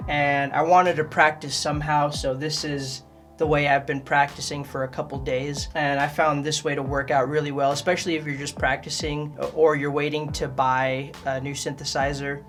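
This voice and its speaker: A man's voice: fairly high pitch, level tone, normal speed, fairly bright tone, soft background music. A man hosting a casual instructional tutorial, speaking to viewers directly in a friendly way. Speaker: host of a music gear tutorial channel.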